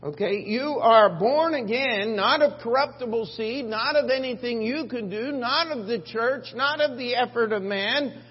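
Slow deliberate preacher guy, emphatic on scripture, echoey church tape, muffled. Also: breathy edge. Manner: emphatic, rising on scripture, dropping low for asides. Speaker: pastor. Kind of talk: preaching a sermon. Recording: dull lo-fi church recording with hall reverb and faint hum.

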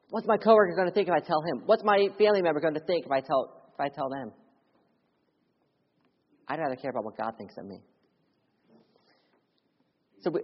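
A young man from Philadelphia preaching a sermon as a missionary, mixing personal testimony with earnest appeals, his voice rising loud and urgent, then dropping to hushed lows. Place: church sanctuary. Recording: dull audio with long church reverb.

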